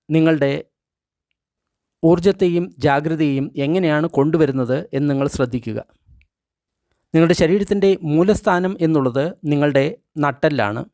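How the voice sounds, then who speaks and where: A man reading a guided meditation, giving slow, deliberate instructions in short phrases with pauses. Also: fairly high pitch, darkish tone, no echo, studio quality.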